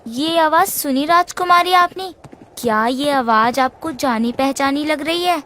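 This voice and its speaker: High-voiced, caring young royal companion. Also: female voice, measured pace, a bit bright. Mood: excited. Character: caring, encouraging young female companion of a princess. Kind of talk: animated fairy-tale voice acting. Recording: long hall-like reverb.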